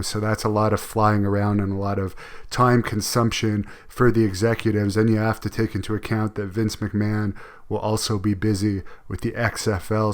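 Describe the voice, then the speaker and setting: Smooth, low-voiced man with very flat delivery. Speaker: wrestling news host. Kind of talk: wrestling news commentary.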